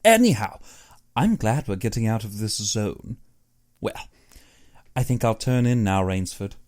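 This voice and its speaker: A man voicing an uneasy, superstitious big-game hunter in an audiobook, earnest and brooding in his expressive delivery. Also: lowish voice, a little slow. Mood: uneasy, reflective. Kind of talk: audiobook character dialogue.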